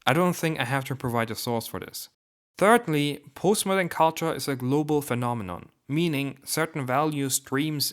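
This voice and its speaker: A young man raised in Germany, with Turkish as his first language, delivers a scripted essay to camera. His reading is measured and deliberate, laying out one argument after another. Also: medium pitch, fairly bright tone. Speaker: YouTuber.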